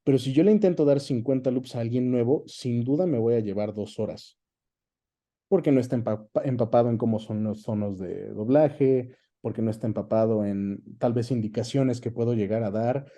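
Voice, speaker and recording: A man's voice: medium pitch, moderate pace, warm tone. A man with a Mexican accent in a conversational interview as the guest, explaining things colloquially with examples and frequent tag questions. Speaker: interview guest, dubbing director.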